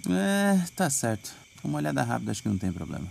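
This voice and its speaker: A man's voice: mid-range voice, very animated, fast, vocal fry, a little mellow, exaggerated voice. A man playing a reluctant, evasive amusement park night watchman in an audio drama, colloquial, with a Brazilian accent. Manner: hedging, colloquial. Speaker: voice actor.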